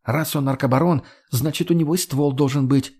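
A man's voice: mid-range voice, steady tone, a little fast, a little mellow, slightly rough, pitched above the performer's own voice. A man voicing a brash, sarcastic, foul-mouthed young woman in an audiobook, slangy and argumentative. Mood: bossy. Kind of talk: audiobook character voice.

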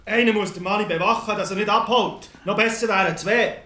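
Ein Schauspieler spielt in einem Hörspiel einen praktisch denkenden, berechnenden Dorfbewohner. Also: male voice, sits high, fairly quick, bright, forceful tone, reverberant. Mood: practical. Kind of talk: radio play acting.

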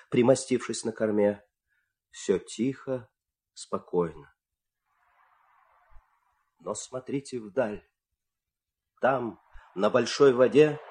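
A man narrating a fairy tale as a dramatic storyteller, expressive and suspenseful, with deliberate pauses. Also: medium pitch.

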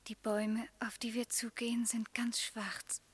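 Breathy, dreamy young sweetheart. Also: female voice, on the high side, flat delivery, unhurried. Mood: wondering, hushed. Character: dreamy, devoted young woman in love, quietly wondering. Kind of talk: German radio play acting, poetic.

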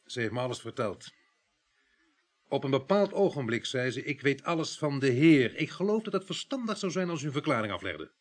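An actor playing a matter-of-fact, firm police inspector questioning a suspect in a radio crime drama. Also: male voice, mid-range voice, sing-song, a little fast. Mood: businesslike. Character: dry, insistent male police inspector, impatient interrogator.

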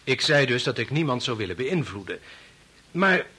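An actor playing a stubborn, persuasive bachelor in a Dutch radio play, wry, circling his point, with a slightly husky voice. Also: mid-range voice, big pitch swings, moderate pace, pushed and bright, heavily acted. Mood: insinuating. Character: idealistic unmarried man, teasing, stubborn, persuasive.

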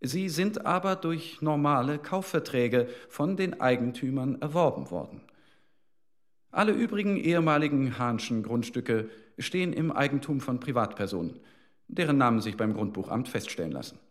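Guy as sober 1940s city lawyer reporting findings, formal, factual, medium pitch. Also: expressive, normal speed, close to an everyday voice. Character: sober post-war municipal legal officer reporting findings. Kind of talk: documentary radio reading of official files.

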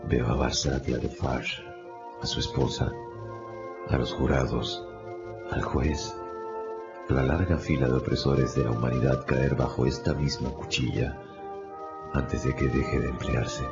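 An extremely deep, gravelly, noble doomed lawyer. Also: male voice, fairly even intonation, unhurried, pauses often. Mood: solemn, visionary. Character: condemned lawyer, serene, noble, prophetic in last thoughts. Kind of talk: radio drama monologue. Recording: dull recording, loud music behind.

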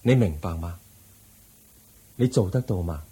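A man acts out the Buddha, a gentle sage in an old radio drama who explains things patiently in Cantonese-accented speech. His voice sits low and husky. The tone is soft and warm. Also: expressive, heavily acted. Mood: teaching. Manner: patient, instructive, measured. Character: calm wise male Buddha teaching gently.

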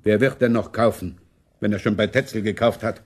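A man's voice: on the low side, brisk, lightly acted. A man acting a money-minded, imperious German prince in a radio play, sardonic and complaining in manner. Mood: indignant.

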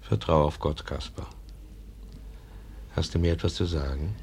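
Very deep-voiced, earnest professor. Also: male voice, unhurried, gravelly, darkish tone, husky, exaggerated voice. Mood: tender, solemn. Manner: earnest, solemn. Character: grieving, indignant male professor, compassionate old teacher. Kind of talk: German radio play acting. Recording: noisy archive radio play recording.

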